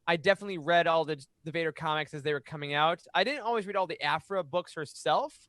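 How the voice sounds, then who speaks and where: High-voiced man in casual discussion. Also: fast talker, fairly bright tone, no echo. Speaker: podcast co-host. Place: small home room, indoors. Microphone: boom-arm studio mic up close.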